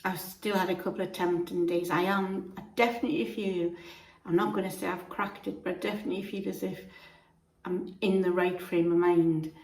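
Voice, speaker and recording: Chatty woman with a croaky voice, echoey room. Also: on the low side, little pitch movement, moderate pace, darkish tone. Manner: chatty, homely, rambling with restarts. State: croaky from being unwell. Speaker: vlogger. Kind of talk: casual chat to camera.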